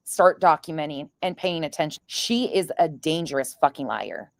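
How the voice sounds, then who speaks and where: A woman's voice: sits low, animated, normal speed, creaky, no echo, clean studio sound. A woman streamer giving blunt, profane, scornful commentary in casual reaction chat.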